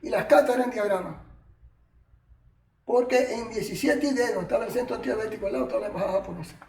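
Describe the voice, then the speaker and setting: High-voiced older Cuban man, distant echo. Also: fast, creaky. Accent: Cuban Spanish. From Cuba. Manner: animated, colloquial, chatty asides. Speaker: martial arts master, interview guest. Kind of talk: reminiscing storytelling. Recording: echoey room, distant mic, faint noise.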